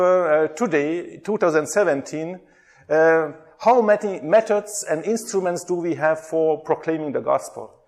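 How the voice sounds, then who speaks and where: Middle-aged Hungarian man, slightly raised voice, running an interactive workshop with lots of ums. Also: a little high. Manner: chatty, frequent hesitations, asks the audience questions. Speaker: workshop presenter, theology lecturer.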